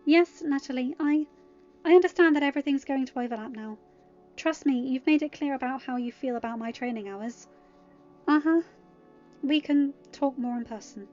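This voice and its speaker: A high, anxious teenage girl, acted out by a woman narrator, stammering and eager to placate. She breaks off her own sentences as she apologises, in an audiobook-style reading. The audio is slightly dull, with music underneath. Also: moderate pace, darkish tone, natural voice. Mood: placating, strained. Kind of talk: dialogue in an amateur audiobook reading.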